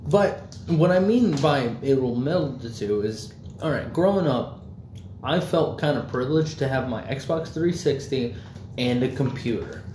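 A man's voice: mid-range voice, expressive, a little slow, a little mellow. A young man in casual chat, rambling loosely with lots of fillers and swearing. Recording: echoey room, faint background noise.

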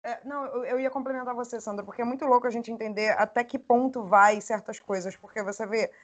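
A woman's voice: a little high, fairly even intonation, quick pace. A woman co-hosting an interview, adding thoughtful points to the chat and asking the guest questions. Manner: thoughtful, adds on to others' points. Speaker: co-host.

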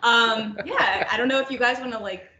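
A woman with a fairly high voice hosts a casual podcast chat. She talks in a giggly, chatty way, and the room gives her voice a clear echo. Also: moderate pace, noisy background. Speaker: podcast host. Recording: roomy, echoing sound.

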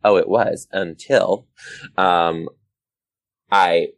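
Deep-voiced man telling funny teacher stories. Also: steady tone, drawn-out words, dry recording. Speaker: teacher, podcast guest. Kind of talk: casual comic storytelling.

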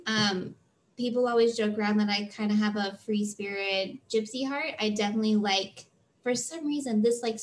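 Chatty woman with slightly high voice. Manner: chatty, many "um" fillers, earnest. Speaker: guest, freelance theater tour manager. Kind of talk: casual interview answers.

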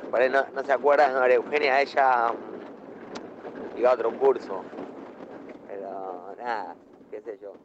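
A man with an Argentine accent playing an amiable, hesitant middle-aged family man who rambles haltingly. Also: mid-range voice, lightly acted. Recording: dull, noisy voice message from vehicle.

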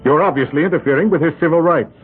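Calm lawyer, dry and skeptical, a bit husky, thin old radio sound. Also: male voice, fairly high pitch, rapid-fire, soft background music. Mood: steady. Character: calm, principled male lawyer. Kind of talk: 1970s radio drama acting. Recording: narrow-band old radio broadcast.

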